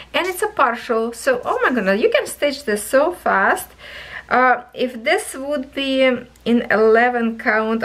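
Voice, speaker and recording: Slow-talking woman with a tired, hoarsening voice. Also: medium pitch, poor audio. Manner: chatty, thinking aloud, soft and slow. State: voice going hoarse, coughing. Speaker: craft channel host reviewing cross stitch kits. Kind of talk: casual product review vlog. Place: indoors at a wooden table. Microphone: camera over a table, hands only in frame.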